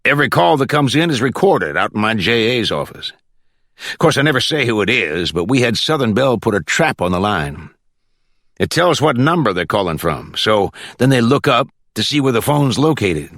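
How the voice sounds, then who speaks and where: A man's voice: mid-range voice, sing-song, normal speed, a bit bright, no room sound, studio quality, voice pushed up. A man voicing a cocky, irritable judge in an audiobook, with a curt, folksy, impatient way of talking. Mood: irritated, boastful. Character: brusque, blustering male judge, cocky and irritable.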